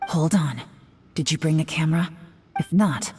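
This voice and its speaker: Woman playing a low-voiced, cool, wry onlooker. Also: normal speed, over music, natural voice. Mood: cool, amused. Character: composed, wry female onlooker. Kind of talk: video game voice acting.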